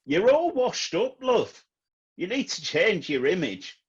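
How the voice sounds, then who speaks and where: Man acting a husky, blunt boss. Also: high-pitched, sing-song, slow pace, muffled recording, heavily acted. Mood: scornful. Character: blunt, scolding male music manager, irritable son. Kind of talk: comedy radio play acting.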